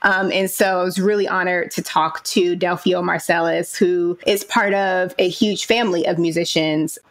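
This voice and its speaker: A woman's voice: on the low side, steady tone, normal speed. A woman speaking conversationally as a theater director guest in a panel discussion.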